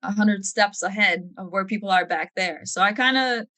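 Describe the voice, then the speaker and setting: A woman's voice: medium pitch, moderate pace, bright, forceful tone, no echo. A woman from Lithuania speaking frankly and informally as an interview guest, with a touch of humor. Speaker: podcast guest, army officer. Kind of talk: candid conversational interview.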